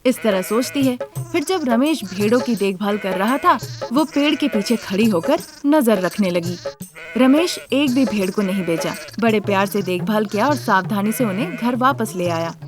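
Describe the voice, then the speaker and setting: A woman's voice: medium pitch, lively intonation, moderate pace, over music. A woman reading scripted story narration as a narrator.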